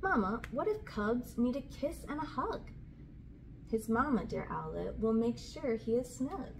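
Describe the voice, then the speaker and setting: A woman's voice: fairly high pitch, big pitch swings, unhurried, poor audio. A woman in her thirties hosting a children's read-aloud, reading storybooks and chatting casually, recorded indoors on a camera at about arm's length. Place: small indoor room with curtain backdrop. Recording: clear background room noise.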